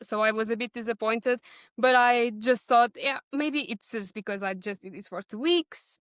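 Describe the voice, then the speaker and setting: A woman's voice: on the high side, a bit bright, smooth, no echo, studio quality. A woman in her twenties from Portugal with a Portuguese accent, telling her own story conversationally as a podcast guest. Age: 20s. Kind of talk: conversational personal account.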